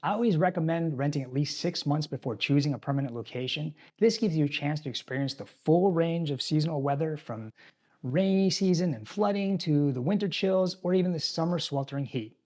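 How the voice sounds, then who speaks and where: A man's voice: fairly high pitch, lively intonation, a little fast, a little mellow. A man hosting an expat channel, giving scripted, direct advice to his viewers about living abroad. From tropical island. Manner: advisory, direct address to viewers. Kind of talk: scripted talking-head explainer.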